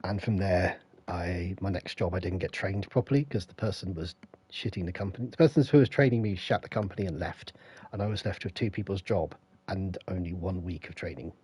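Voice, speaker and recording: An English man with a croaky voice, feeling unwell, rambling through stories while greeting his live stream chat on a headset. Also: deep voice, a little fast, warm tone, no room sound. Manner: rambling, anecdotal, stops to greet viewers. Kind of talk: casual storytelling to live chat.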